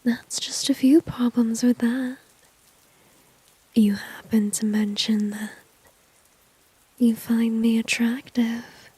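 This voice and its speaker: A woman playing a seductive, dominant female villain in a soft, breathy whispered ASMR roleplay, teasing and smug, close to the microphone. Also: fairly high pitch, no room sound, exaggerated voice, rough recording. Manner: slow, teasing, smug, intimate. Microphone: very close, dry ASMR microphone.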